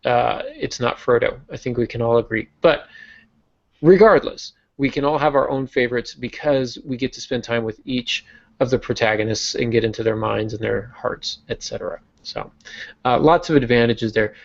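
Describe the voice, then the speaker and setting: A man with a gravelly, fry-tinged voice explains writing craft on a podcast, working through his points with plenty of uh and um. The audio is dull and lo-fi. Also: mid-range voice. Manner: explanatory, frequent uh and um fillers. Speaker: podcast host and novelist.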